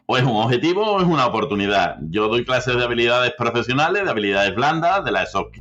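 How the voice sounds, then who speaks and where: A man's voice: mid-range voice, big pitch swings, fast, a bit bright. Guy hosting a legal podcast, keen, stops and restarts, kinda muffled audio. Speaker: podcast host, lawyer. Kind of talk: interviewing a guest.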